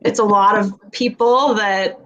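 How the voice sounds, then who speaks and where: Female panel guest, medium pitch, casual talk on a slightly noisy video call. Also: steady tone, unhurried, fairly bright tone, dull audio. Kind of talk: casual chat.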